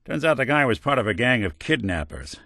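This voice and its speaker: A man's voice: mid-range voice, big pitch swings, fairly quick, vocal fry, bright, forceful tone, no room sound, studio quality, exaggerated voice. A male actor playing a world-weary, principled android detective in a video game, with a wry, folksy hardboiled way of talking and old-fashioned slang. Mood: wry, reminiscing. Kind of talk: video game companion dialogue, noir detective.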